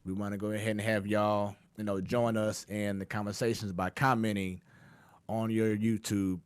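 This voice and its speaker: Low-voiced man hosting sports talk. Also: little pitch movement, normal speed, smooth, dry recording. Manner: loose and chatty, many fillers and restarts. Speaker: sports talk show host.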